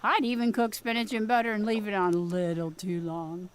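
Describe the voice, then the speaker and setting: A woman's voice: mid-range voice, level tone, fairly quick, clean tone, natural voice. A woman playing a wry, plainspoken farm mother in an audio drama, telling homespun, rambling stories in a conversational way. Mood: wry, chatty. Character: folksy farm mother, wry, plainspoken, remorseful about her son.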